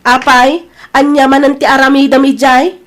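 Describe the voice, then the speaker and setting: An actress with an Ilocano Filipino accent playing a hardworking, exasperated older sister in an audio drama, scolding and complaining. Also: female voice, high voice, level tone, moderate pace, close to an everyday voice. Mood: irritated. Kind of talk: colloquial audio drama acting.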